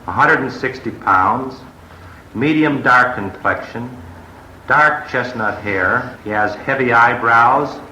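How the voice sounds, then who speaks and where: A man's voice: mid-range voice, slow, pushed and bright. A man with an American accent giving a formal, matter-of-fact statement as a police detective captain. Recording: dull, echoey, noisy 1940s radio recording.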